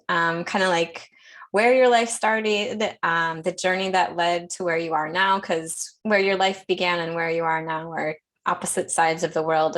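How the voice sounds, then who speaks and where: A woman's voice: lowish voice, fairly bright tone. A woman hosting a podcast, asking casual questions in a friendly way, laughing often and using fillers. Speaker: podcast host.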